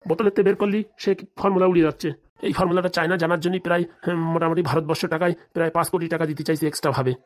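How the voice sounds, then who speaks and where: A woman voicing a smooth-talking, scheming male fixer, boastful and persuasive, with a rural Bengali accent in a comedy cartoon. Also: fairly low pitch, steady tone, racing, close to an everyday voice. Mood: explaining.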